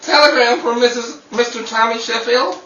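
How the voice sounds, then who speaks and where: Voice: mid-range voice, steady tone, moderate pace, sharp tone, echoey room, distant mic, dull audio, a little hiss or hum, lightly acted. An actor playing a confused young telegram boy who stammers insistently in a 1930s radio comedy.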